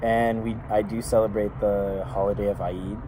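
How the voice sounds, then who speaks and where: A young man talking casually as a guest, relaxed and rambling with many fillers. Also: fairly low pitch, normal speed. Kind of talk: casual chat. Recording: noisy street with passing traffic.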